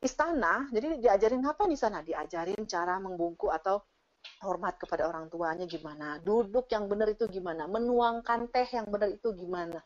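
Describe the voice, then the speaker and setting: A woman's voice: medium pitch, fairly quick. Dull-sounding call, woman explaining animatedly. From Indonesia. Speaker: teacher and speaker sharing her experience. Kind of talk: casual presentation answering audience questions. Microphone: online video call. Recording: dull, lo-fi online meeting audio.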